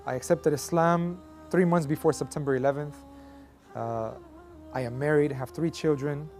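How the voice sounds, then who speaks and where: A man's voice: a little high, fairly even intonation, normal speed, a little mellow, faint music behind. A middle-aged man from Colombia who grew up in Texas, giving a personal testimony as an interview guest. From Colombia, raised in Houston, Texas from age eight. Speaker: interview guest telling his life story.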